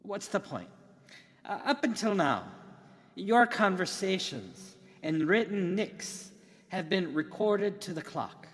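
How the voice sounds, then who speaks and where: A man playing an excited, theatrical keynote presenter from the future in an amateur radio drama, speaking emphatically with dramatic pauses. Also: high voice. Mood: explaining, enthusiastic. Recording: long hall reverb.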